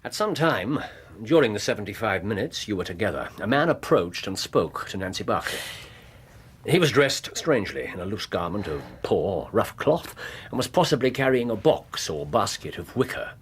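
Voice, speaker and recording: An actor playing a shrewd, self-assured English gentleman detective in a radio drama, speaking in precise, formal, wry phrases. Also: lowish voice, sing-song, moderate pace, slightly rough, heavily acted. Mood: measured, probing.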